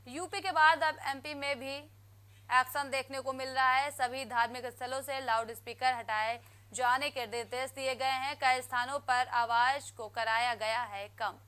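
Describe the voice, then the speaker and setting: A woman reading scripted television news bulletins in a crisp, formal newsreader manner. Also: high voice, fairly even intonation, moderate pace, pushed and bright. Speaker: news anchor.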